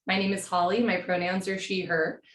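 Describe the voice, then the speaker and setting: A woman with a hoarse voice from a cold, teaching a yin yoga class from home in a slow, gentle, soothing way. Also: on the low side, flat delivery, echoey room.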